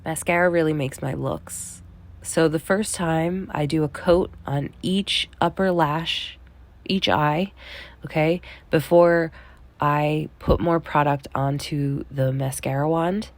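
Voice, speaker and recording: Low, nasal, sick-sounding young woman. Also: slow. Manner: relaxed, chatty, says "okay?" often. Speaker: beauty vlogger. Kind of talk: casual voice-over tutorial. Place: small bedroom.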